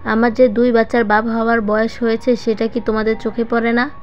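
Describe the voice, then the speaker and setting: A woman voicing a brazen, coolly stubborn grown son in a story, with a calm, demanding manner. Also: on the high side, fairly quick, a little hiss or hum, lightly acted. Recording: muffled lo-fi voice track with music.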